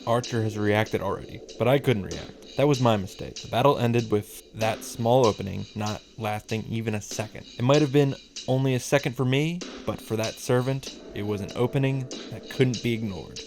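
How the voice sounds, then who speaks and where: A man reading the part of a proud young female mage from a visual novel, in measured, solemn dramatic narration. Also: mid-range voice, darkish tone, slight background noise, lightly acted. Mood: grave, tense.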